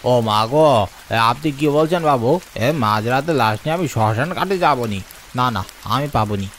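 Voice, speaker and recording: A scared village boatman from a horror cartoon, played by a man. His pitch leaps about as he pleads and exclaims, reluctant and superstitious. Light rain sounds behind him. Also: expressive, moderate pace, fairly bright tone, slight background noise. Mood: frightened. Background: falling rain.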